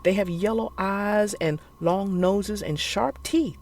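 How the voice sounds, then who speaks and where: A narrator reading a children's story aloud in an expressive, theatrical and deliberate way. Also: medium pitch. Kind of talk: expressive scripted read-aloud for young children.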